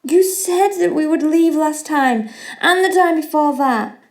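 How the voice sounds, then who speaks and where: Desperate young daughter, audio drama. High pitched, measured pace, earnest, insistent. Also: female voice. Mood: frustrated, accusing. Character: pleading, determined young daughter desperate to flee home.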